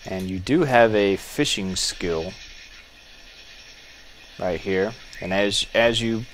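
A man casually talking through a game as he plays, relaxed and musing, thinking aloud with small restarts. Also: sits low. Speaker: gameplay video host. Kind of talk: casual gameplay commentary.

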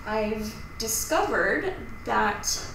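Woman acting as a slow, sheepish roommate, echoey. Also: a little high, lively intonation, heavily acted. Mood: awkward, confessional. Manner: hesitant, halting. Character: sheepish female roommate hedging toward awkward confession. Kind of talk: naturalistic acted scene. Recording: distant echoey room, clear background noise.

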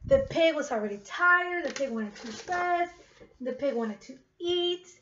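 Female primary-teacher type, high-pitched, reading to kids and repeating questions, muffled home recording with noise. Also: animated, a little slow, a bit bright. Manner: repeats questions, addresses children as friends. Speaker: teacher reading aloud to young pupils. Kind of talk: children's read-aloud with questions to pupils. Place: living room at home, indoors. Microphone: webcam at about arm's length. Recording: dull webcam sound with clear noise.

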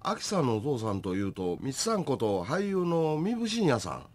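A man's voice: mid-range voice, wildly swooping pitch, moderate pace. A man playing an affable repair company boss with a Kansai accent in a comic radio drama.

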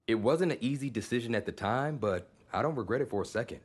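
An actor playing a cocky but loyal young college football player in casual, joking video game dialogue. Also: male voice, mid-range voice, lively intonation, quick pace, slightly rough, soft background music. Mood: reflective, confident. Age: young adult. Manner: playful, casual banter.

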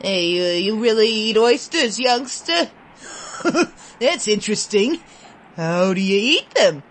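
A woman voicing an amused gentleman in a top hat who teases a small boy with mocking questions. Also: medium pitch, animated, takes it slowly, slight background noise.

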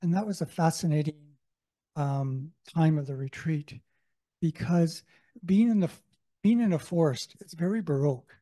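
A man's voice: a little high, moderate pace, warm tone. A man from Canada sharing a story from the floor of a panel discussion in a conference hall, enthusiastic and anecdotal. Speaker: audience member. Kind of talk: comment from the floor.

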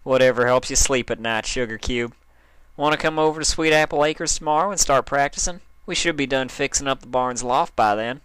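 A man's voice: medium pitch, a little fast, a bit bright, clear, resonant tone, dry recording, pitched above the performer's own voice, close to an everyday voice. A man voicing a friendly, teasing female farm pony with a folksy, coaxing manner in a story reading. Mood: teasing, cheerful.

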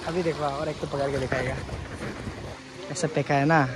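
A man's voice: on the high side, steady tone, normal speed. A young man from Northeast India chatting casually on a vlog while fishing outdoors by a river, laughing often and mixing in English words. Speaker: vlogger. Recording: noisy outdoor riverside recording. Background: river water and construction noise.

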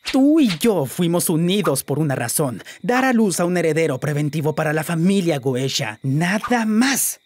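A performer with a Latin American accent playing a lovesick, emotional demon prince and unhappy husband in an animated fan dub. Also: medium pitch, dry recording. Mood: weary, cold. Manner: theatrical, emotional, swinging from purring to outraged. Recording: loud music behind the voice.

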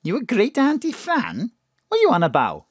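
A woman's voice: on the high side, wildly swooping pitch, moderate pace, breathy edge, no echo, studio quality, exaggerated voice. An actress playing a doting, chatty, slightly daffy middle-aged mother with a Welsh accent, fussing with endearments in an audio drama. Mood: concerned, puzzled. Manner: fussing endearments and tag questions.

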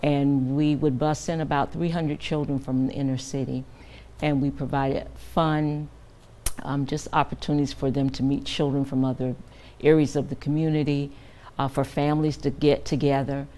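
A woman's voice: rumbling low, level tone, moderate pace, warm tone. An older woman guest in a TV studio interview, speaking earnestly and measuredly with frequent fillers, on a lapel mic. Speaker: guest, director of a children's camp. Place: TV news studio.

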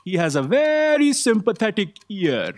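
A man's voice: high-pitched, sing-song, a little slow, no room sound. A middle-aged man playing a nervous, deferential office aide in a staged comedy audio drama, hesitant and stammering. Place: theatre stage. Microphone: stand microphone.

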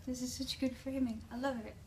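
A woman's voice: high-pitched, steady tone, normal speed, background noise. A young adult woman with an Australian accent, chatting casually and playfully into a handheld phone as a video diary. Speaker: vlogger. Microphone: phone at arm's length.

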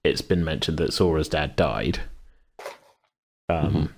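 A man's voice: rumbling low, slow. A man talking casually as host and game master, in a rambling, hesitant, self-correcting way. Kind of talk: casual chat about a tabletop game.

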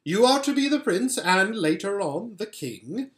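Man playing coaxing witch queen with animated pitch. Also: unhurried. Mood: persuasive, firm. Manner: sweet-talking, imperious. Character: coaxing, scheming regal witch queen, grown woman.

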